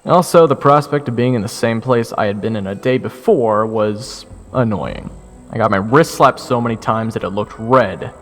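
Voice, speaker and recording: A young man narrating an audio drama in the first person, sardonic and expressive. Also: lowish voice, normal speed, in a large hall, soft background music. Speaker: narrator and lead voice actor.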